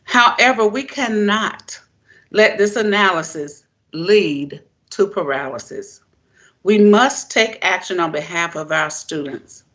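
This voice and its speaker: A woman giving a formal prepared keynote speech as a presenter, in deliberate, emphatic, measured phrases. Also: mid-range voice, animated, a bit bright, lo-fi sound. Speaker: presenter, education keynote speaker.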